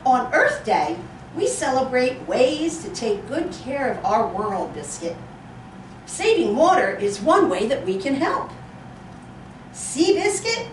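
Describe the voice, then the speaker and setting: Woman reader as a cheerful little girl, sing-song and lively, in a roomy space off-mic. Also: on the high side, takes it slowly, slight background noise. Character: cheerful little girl talking to her puppy. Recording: roomy echo, distant mic.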